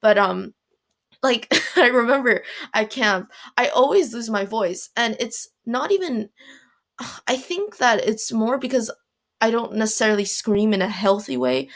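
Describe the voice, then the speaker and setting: Hoarse, raspy teen girl rambling. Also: on the high side, expressive, a little slow. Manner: rambling, self-deprecating, many fillers and restarts. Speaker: podcast host. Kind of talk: casual solo podcast monologue. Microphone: home recording mic.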